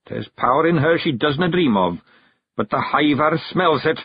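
A man voicing a tiny fairy clan chief with a Scottish accent, brooding, proud and gruff, in a storybook. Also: fairly high pitch, expressive, moderate pace, highs cut off, slight background noise, pitched above the performer's own voice. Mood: earnest. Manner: gruff, dramatic, stubborn.

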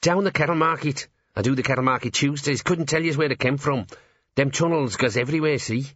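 A man voicing a tiny, cocky, belligerent gnome rat-catcher in an audiobook, with rough, slangy, combative speech. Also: mid-range voice, fairly quick, fairly bright tone, dry recording, lo-fi sound, voice pushed up. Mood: boastful, insistent. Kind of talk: character voice in audiobook narration.

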